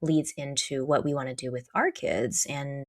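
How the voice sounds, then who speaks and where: A woman's voice: very deep voice, steady tone, normal speed. An American woman, a pharmacist co-hosting a podcast, chatting casually with a hesitant, filler-heavy manner. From United States.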